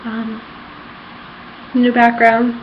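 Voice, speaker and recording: A woman's voice: fairly high pitch, monotone, drawn-out words. A woman chatting casually in a rambling personal vlog recorded at home, with a giddy fangirl enthusiasm. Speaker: vlogger. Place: apartment room. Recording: dull lo-fi home recording, background noise.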